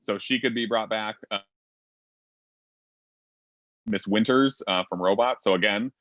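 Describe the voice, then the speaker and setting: A man talking as a convention panelist in a casual fan discussion over a video call, agreeable and quick to chime in with "yep". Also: fairly low pitch, fairly bright tone, no echo. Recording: muffled dry webcam audio.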